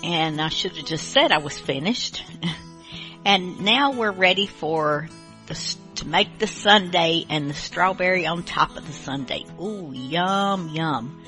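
Slow-talking woman radio host, bright low voice. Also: soft background music. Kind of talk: casual unscripted radio chat.

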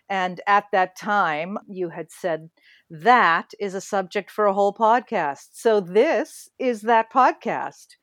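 Middle-aged lady cohost, excited, dry close sound. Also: mid-range voice, no room sound. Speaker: co-host. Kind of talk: casual podcast chat.

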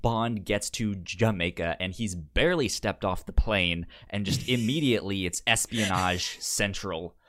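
A man with a fairly low voice talks casually about film as a podcast co-host. His delivery is halting, with syllables and words often repeated as he works through a thought. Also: a bit bright.

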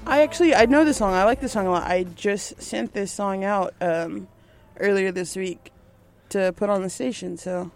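A woman's voice: mid-range voice, normal speed, soft background music. A young woman radio DJ hosting a podcast in casual chat, bubbly and talkative.

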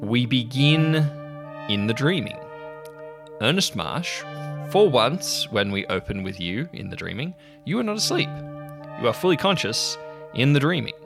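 A man's voice: medium pitch, very animated, a little slow, creaky, music in the background. A man narrating as game master of a tabletop role-playing game, chatting casually between stretches of story.

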